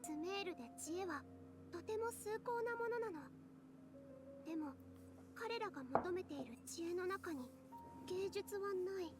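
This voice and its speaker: Game role: earnest, gentle young female dancer, very high voice, quick delivery, over loud music. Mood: concerned. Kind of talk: Japanese video game dub acting.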